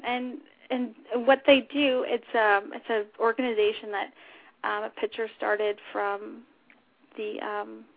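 Female talk show host, informal and unscripted, slightly husky and scratchy, dry phone-quality sound. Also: a little high, dry recording. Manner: chatty, informal. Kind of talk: unscripted casual radio chat. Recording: tinny phone-line internet radio audio.

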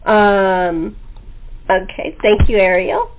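A woman's voice: mid-range voice, steady tone, slow. A woman hosting a casual group interview, chatting informally with her guests as she introduces them. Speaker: host interviewing a radio play cast. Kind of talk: casual chat. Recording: tinny, narrow phone-line sound.

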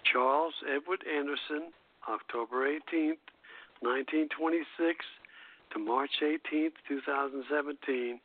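A man hosting a radio show, reading a music history script aloud in a halting, stumbling way over a phone line. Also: on the high side.

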